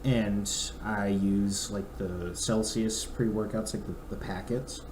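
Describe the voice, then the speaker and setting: A young man with a raspy throat, a podcast co-host chatting casually and reading a weather forecast aloud with asides. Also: lowish voice, steady tone, takes it slowly. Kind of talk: casual chat. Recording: echoey room, faint noise.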